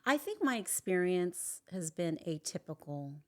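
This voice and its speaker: A woman actor in casual chat, talking hesitantly and trailing off softly at the ends of her thoughts. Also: lowish voice, very animated, a little slow. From Filipino.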